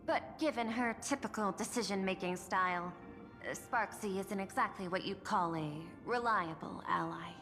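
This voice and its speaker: A woman's voice: medium pitch, pushed and bright, natural voice. Woman voicing a composed android executive, long reverb. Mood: composed, analytical. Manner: measured, formal, articulate. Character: composed, calculating female android executive and art-seeking administrator. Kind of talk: video game voice acting. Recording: long reverb, music behind the voice.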